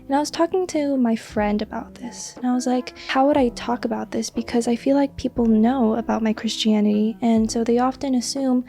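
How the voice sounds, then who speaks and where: A woman in her twenties, a crochet creator chatting casually and earnestly as she answers viewer questions, sometimes dropping to a soft whisper. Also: a little high, steady tone, a little fast, hardly any pauses, faint music behind. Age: 20s. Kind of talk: casual Q&A chat.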